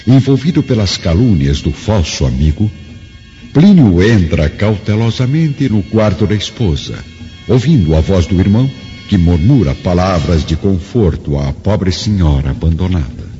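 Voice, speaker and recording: A man's voice: deep voice, expressive, normal speed, dark tone. A man reading scripted dramatic narration in a solemn, literary manner. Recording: dull hall reverb, music behind.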